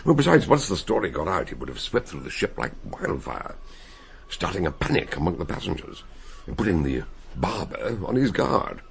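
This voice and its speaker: A shrewd detective doctor in a radio drama. His voice is low and gravelly, with expressive swings as he recounts and reasons in a deliberate, wry way. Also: full character voice. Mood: measured recounting. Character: shrewd, measured male amateur detective doctor recounting a case. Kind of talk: radio drama acting and narration.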